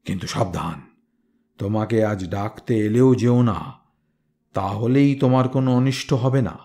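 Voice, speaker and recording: A man's voice: lowish voice, unhurried, mellow. Senior storybook priest, read by a man: admonishing, earnest, protective authority. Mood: grave warning. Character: senior male temple priest, stern, concerned, protective.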